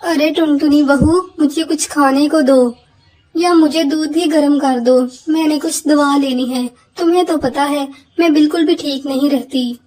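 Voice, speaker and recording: A high, folksy old mother bird from a cartoon fable, played by a woman. She is the bossy mother-in-law of the nest. Her talk is motherly and naggy. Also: fairly even intonation, a little slow, a little mellow, low-quality recording. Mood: demanding. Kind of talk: Hindi cartoon voice acting.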